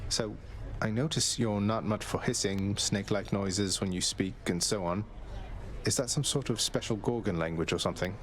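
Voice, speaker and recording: Man playing a low-voiced, wry young prince. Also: moderate pace, a little hiss or hum, close to an everyday voice. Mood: casual, curious. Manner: dry, understated, hesitant. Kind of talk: dramatic video game voice acting.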